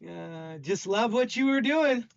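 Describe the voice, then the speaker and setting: An older man with a loud voice hosting a livestream, chatting casually and stammering as he talks to chat. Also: high voice, expressive, unhurried, vocal fry, lo-fi sound. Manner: rambling, stammering, warm toward chat. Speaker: stream host.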